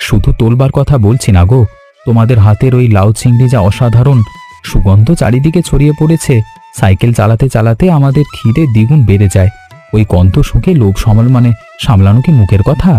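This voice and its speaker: Man playing an eager, mellow village delivery lad. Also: mid-range voice, brisk, faint music behind, lightly acted. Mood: coaxing. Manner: chatty, coaxing. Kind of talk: animated story dialogue.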